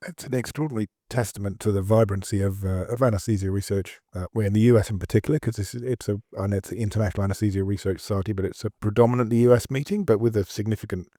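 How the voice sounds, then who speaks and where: Quick-talking podcast cohost guy, mellow lowish voice, dry close sound. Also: no room sound. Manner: quick, enthusiastic asking. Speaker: podcast co-host. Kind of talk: interview questions.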